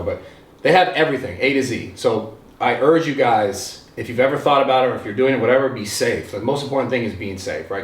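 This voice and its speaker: Man, thirties, sincere sponsor spot, roomy room sound, distant mic. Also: on the low side. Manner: earnest, urging listeners directly. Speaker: podcast host reading an ad. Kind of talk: ad read.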